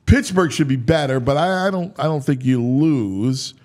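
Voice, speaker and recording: A man hosting a sports talk show, mixing dramatic, drawn-out delivery with casual, offhand asides. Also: mid-range voice, darkish tone.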